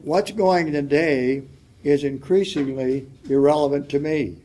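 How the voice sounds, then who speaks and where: Soft-spoken older man, reflective. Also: on the high side. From United States. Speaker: panelist. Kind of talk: reading a prepared letter at a public forum. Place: public forum hall.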